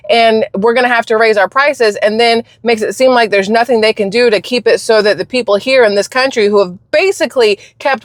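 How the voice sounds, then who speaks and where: A woman from the United States hosting her channel, delivering an emphatic, indignant opinion rant with quick bursts of speech. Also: fairly high pitch, dry recording, studio quality. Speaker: channel host.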